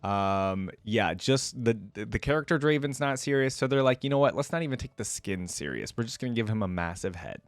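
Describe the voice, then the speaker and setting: A man's voice: medium pitch, expressive, fairly quick, hardly any pauses, smooth, dry recording. A man giving casual, off-the-cuff reaction commentary as a video host, thinking aloud in an informal, wryly bemused way.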